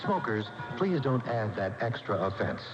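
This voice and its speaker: A man reads a scripted public service spot with animated intonation. The radio broadcast is dull and band-limited, with music loud behind him. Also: medium pitch, normal speed. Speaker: announcer.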